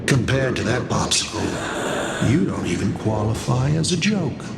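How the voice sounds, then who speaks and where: An actor playing a smug, playful trickster villain in a video game, with a teasing, theatrical delivery. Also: male voice, medium pitch, sing-song, moderate pace, creaky, very noisy. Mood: gloating. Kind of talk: dramatic video game voice acting. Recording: echoey, with loud music. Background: loud game music.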